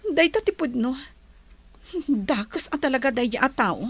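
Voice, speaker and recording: A woman's voice: high-pitched, expressive, measured pace, husky, exaggerated voice. A woman playing a wealthy lady in a radio drama, speaking earnestly. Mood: worried. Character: wealthy woman Annabel, worried but reflective.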